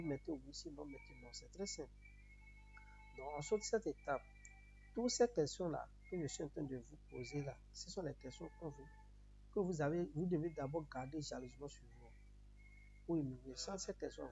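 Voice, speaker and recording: A man's voice: a little high, warm tone. A man from Benin with a Beninese accent, a native Yoruba speaker, giving a step-by-step tutorial in repetitive, instructional phrasing. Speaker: tutorial presenter. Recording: muffled audio with noticeable background hum.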